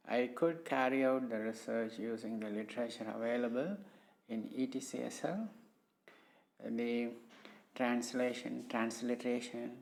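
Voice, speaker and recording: A middle-aged man with an Indian English accent, a native Tamil speaker, presenting his research in a hesitant way, with many pauses and fillers. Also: medium pitch, darkish tone. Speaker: civil engineering professor presenting his research. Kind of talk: slow, hesitant screen-recorded talk.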